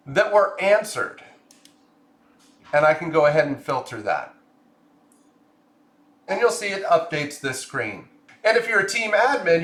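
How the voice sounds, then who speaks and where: Higher-pitched man narrating a software tutorial. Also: roomy sound. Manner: clear step-by-step explaining. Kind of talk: scripted screen-share tutorial narration.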